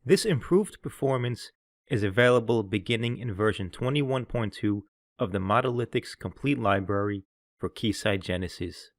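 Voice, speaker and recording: A man presenting a scripted software tutorial, explaining in a clear, orderly way. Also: fairly low pitch, normal speed, no echo, clean studio sound. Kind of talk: scripted instructional narration.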